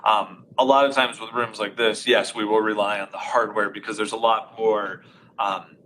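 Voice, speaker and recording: A man with a loud voice giving a live technical demo of conferencing equipment in a conference room, explaining each step. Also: fairly low pitch, fairly even intonation, moderate pace, a little hiss or hum, rough recording. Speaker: audio installer demonstrating conferencing setup.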